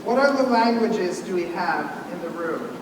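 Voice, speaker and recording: Man, high register, leads a community meal from the front of a reverberant hall, mic distant with room noise. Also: expressive, moderate pace. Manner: instructive, inviting, restarts mid-sentence. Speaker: seder leader. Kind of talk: leading a community ritual meal. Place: large hall. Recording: echoey hall from far off, background noise.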